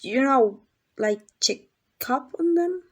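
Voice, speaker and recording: A woman's voice: high voice, lively intonation, slow, a little mellow, full character voice. A woman playing a lovesick, possessive female kidnapper in an intimate ASMR roleplay, doting and rambling in a flustered, coaxing way. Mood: insistent, adoring. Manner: coaxing, rambling, hesitant asides.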